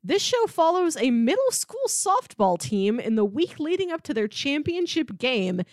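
A woman's voice: high-pitched, big pitch swings, normal speed, no room sound, studio quality. A woman co-hosting a podcast in casual, enthusiastic conversation. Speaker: podcast co-host. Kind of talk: casual chat.

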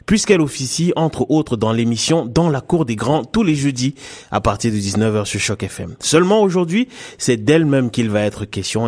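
A man's voice: medium pitch, a little mellow. A man hosting a French-language radio interview in a studio, speaking with frequent fillers and restarts. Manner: hesitant, many fillers and restarts. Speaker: radio host.

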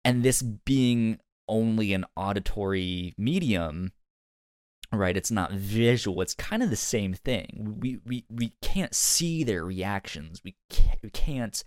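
Halting man chatting on a podcast. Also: fairly low pitch, expressive, dry recording, studio quality. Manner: halting, rambling, often repeats words. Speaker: podcast co-host. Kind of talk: casual review discussion.

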